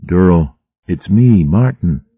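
A man voicing a brave male warrior mouse who speaks gently and earnestly. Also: deep voice, lively intonation, slow pace, husky, dry recording, narrow, tinny audio, exaggerated voice. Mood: tender.

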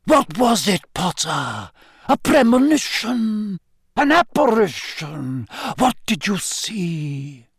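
Audiobook role, male reader: mystical lady fortune-telling teacher, portentous and eager, raised pitch, wide swoops. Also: very animated, a little slow, darkish tone. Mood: excited, eager. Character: dramatic, mystical woman divination teacher.

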